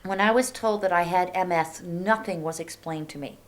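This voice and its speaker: A woman in her forties from New York, speaking with a somewhat low voice. She talks plainly and candidly about her experience as a patient in a documentary interview. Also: moderate pace. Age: 40s.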